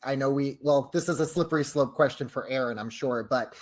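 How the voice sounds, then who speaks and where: A man's voice: mid-range voice, brisk. A man co-hosting a casual podcast conversation, effusive and courteous as he puts questions to colleagues.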